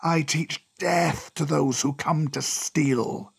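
Ancient menacing cobra, agonizingly slow. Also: male voice, fairly high pitch, steady tone, gravelly, breathy edge, exaggerated voice. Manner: slow, drawn-out, ominous delivery. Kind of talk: storybook character voice.

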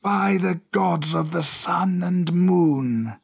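Ancient menacing cobra, agonizingly slow. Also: male voice, high voice, vocal fry, breathy edge, pitched above the performer's own voice, heavily acted. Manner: slow, drawn-out, ominous delivery. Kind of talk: storybook character voice.

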